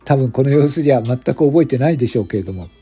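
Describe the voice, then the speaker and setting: A young company president played by a man speaks in a friendly, polite and unpretentious way. His voice is very soft-toned and husky, in a radio drama with music behind. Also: medium pitch, lively intonation, racing. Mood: polite, businesslike. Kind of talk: amateur radio drama acting. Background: background music.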